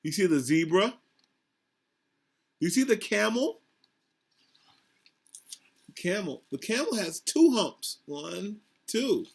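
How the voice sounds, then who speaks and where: High-pitched, very animated man reading aloud. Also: creaky, breathy edge. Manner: simple, instructive, pausing to ask questions. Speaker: school principal. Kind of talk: picture-book read-aloud to young children with questions.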